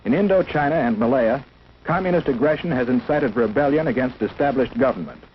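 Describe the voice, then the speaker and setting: A man's voice: medium pitch, a little fast, a little hiss or hum. Formal American man on dull vintage film soundtrack. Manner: formal, solemn, declarative. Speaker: educational film narrator. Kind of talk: 1950s instructional film narration, scripted.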